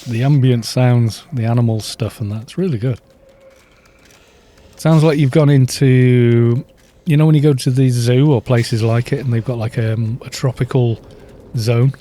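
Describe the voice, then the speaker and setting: A man chatting casually on a game livestream, soft-spoken and rambling, with little chuckles, into a studio microphone at home. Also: mid-range voice, fairly even intonation, measured pace. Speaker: livestream gamer. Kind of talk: casual chat while gaming, reading chat.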